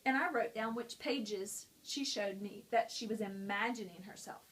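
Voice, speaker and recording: A woman's voice: mid-range voice, moderate pace. A woman teacher at a school, explaining a picture-book lesson to students in a friendly, explanatory way.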